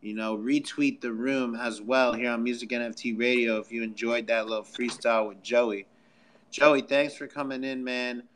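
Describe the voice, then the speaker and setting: Male podcast host for a casual live audio room. Medium pitch, slangy, promotional hype. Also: fairly even intonation, moderate pace, fairly bright tone, a little hiss or hum.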